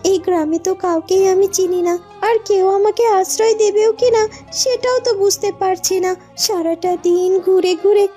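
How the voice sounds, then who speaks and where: Woman acting young cartoon heroine, tiny very high voice, mellow, weary, determined, earnest, light music behind. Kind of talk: cartoon dubbing, melodramatic fairy tale.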